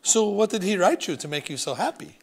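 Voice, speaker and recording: A man's voice: sits high, very animated, brisk, voice pushed up. A man voicing an elderly French grandmother who is doting and blunt, with a thick French accent. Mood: doting, puzzled. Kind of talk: audiobook character voice.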